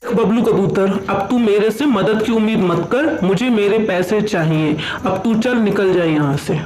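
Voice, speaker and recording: A man's voice: high voice, a little slow, a little mellow. A man playing a stingy, harsh rich monkey moneylender in a cartoon, scornful, demanding and dismissive. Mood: scornful, angry. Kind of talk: cartoon character acting. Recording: echoey voice over background music.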